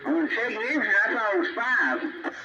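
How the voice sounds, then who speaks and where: High-pitched, animated Southern man on CB. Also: normal speed, over a noisy crowd. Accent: Tennessee Southern. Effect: two-way CB radio transmission. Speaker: CB radio operator. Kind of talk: casual radio chat. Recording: muffled, distant, very noisy CB signal.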